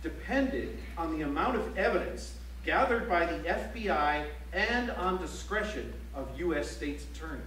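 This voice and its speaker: Formal newsman for a one-act stage play, acted by a man: high register, measured declarative delivery, roomy echoing sound. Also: animated, fairly bright tone. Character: male news reporter reciting strike reports in measured tones. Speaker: stage actor. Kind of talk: theatrical recitation of news reports. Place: library auditorium stage, live play. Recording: echoey auditorium from far off, faint hum.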